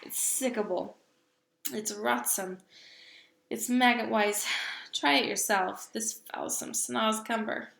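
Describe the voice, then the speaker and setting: A woman voicing a very old, kindly male giant who talks slowly and loudly with jumbled grammar, in an echoey room. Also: creaky. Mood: gleeful. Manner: slow booming delivery with jumbled grammar.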